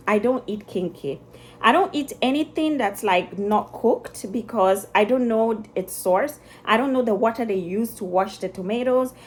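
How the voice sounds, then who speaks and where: A woman's voice: medium pitch, slight background noise. A woman in her thirties with a Ghanaian accent, chatting casually to her camera while she cooks in a home kitchen. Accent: Ghanaian, mixed with Italian and British touches. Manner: chatty, lots of "like" and restarts, shifts accents playfully. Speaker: vlogger. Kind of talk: casual vlog chat while cooking. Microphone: phone camera on the counter, filming from below.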